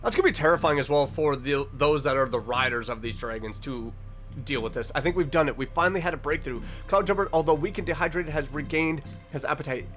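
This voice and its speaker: A man's voice: a little high, fast talker, a bit bright, soft background music. A man hosting a reaction video, reading text aloud and commenting casually, sometimes stumbling over words and correcting himself. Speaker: online reaction video host.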